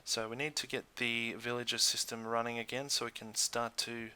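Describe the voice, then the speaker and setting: Bright-voiced man, casual gaming commentary. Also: medium pitch, little pitch movement, normal speed, smooth. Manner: hesitant, thinking aloud. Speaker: gaming video host.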